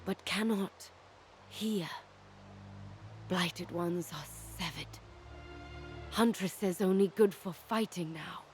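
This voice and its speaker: A woman voicing a gentle, solemn plant creature who nurtures and makes peace, speaking in halting, simple phrases in a fantasy game. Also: lowish voice, soft background music. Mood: sorrowful, hushed. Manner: slow, halting, simple speech with plural self-reference.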